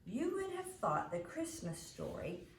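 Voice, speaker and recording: Slow, lively woman reading a story aloud. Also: sits high. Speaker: storybook reader. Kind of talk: animated read-aloud storytelling. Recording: roomy echo, faint background noise.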